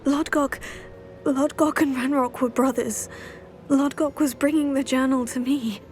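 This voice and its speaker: A woman's voice: high voice, fairly even intonation, a little slow, a little mellow, a little hiss or hum. A woman voicing an earnest, determined young student of magic in a video game, polite and direct. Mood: urgent, alarmed. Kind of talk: video game character dialogue.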